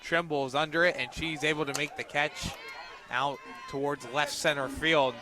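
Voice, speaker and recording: A young man doing live radio play-by-play of a softball game as the announcer. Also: on the high side, steady tone, normal speed. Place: outdoors at a softball field. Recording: outdoor ballpark noise, crowd behind. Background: crowd and outdoor ambient noise.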